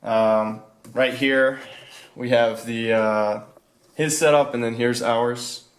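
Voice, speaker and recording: A man's voice: mid-range voice, steady tone, slow. A young man giving an informal walkthrough of a student project, talking in a hesitant, laid-back, off-the-cuff way. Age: young adult. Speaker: student presenter.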